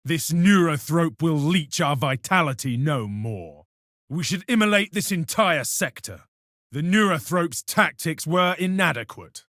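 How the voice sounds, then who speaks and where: An actor playing a scornful, coldly righteous space marine in a video game. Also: male voice, a little high, lively intonation, a little slow, a bit bright, no echo, studio quality. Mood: cold contempt. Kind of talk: video game combat voice lines.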